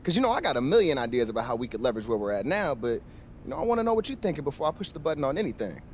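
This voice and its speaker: A man's voice: mid-range voice, sing-song, very fast, slight background noise, natural voice. A man playing a brash, joking young hustler and best friend in a game, slangy and cocky. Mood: businesslike. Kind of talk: casual game cutscene banter.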